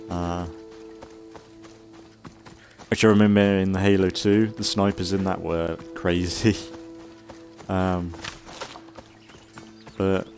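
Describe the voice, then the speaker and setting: A man giving casual, rambling commentary as a let's play host, thinking aloud in a halting way and sounding slightly congested. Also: sits low, level tone, darkish tone, faint music behind. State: slightly congested from an oncoming cold.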